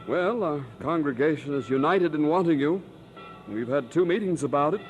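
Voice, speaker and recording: A man's voice: fairly high pitch, animated, normal speed, dull audio, soft background music, lightly acted. An actor playing a courteous, persistent church committee man in a radio drama, speaking formally with deferential hesitation. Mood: hopeful, deferential. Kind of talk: old-time radio drama acting.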